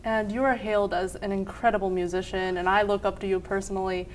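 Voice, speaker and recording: A woman hosts a TV interview and draws out her guest with attentive, admiring questions. Her voice sits at an ordinary pitch for a woman. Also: little pitch movement, fast. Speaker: TV talk show host.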